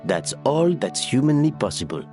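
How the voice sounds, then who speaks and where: A man playing a calm, reasonable character in an anime video game, explaining things in articulate, measured phrases. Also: mid-range voice, music in the background, lightly acted. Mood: reassuring.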